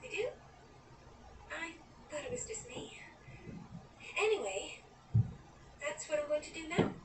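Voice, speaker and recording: Wistful girlish young woman in audio drama, high voice, roomy noisy recording. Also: expressive, measured pace, recorded from a distance. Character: wistful, dreamy young woman narrating her own story. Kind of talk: audio drama acting with first-person narration.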